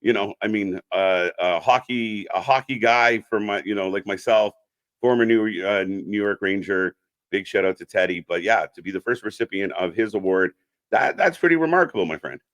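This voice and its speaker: A man's voice: lowish voice, moderate pace, a bit bright. A man hosting an informal interview in casual chat style, often repeating short affirmations. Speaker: host of a wrestling fan channel.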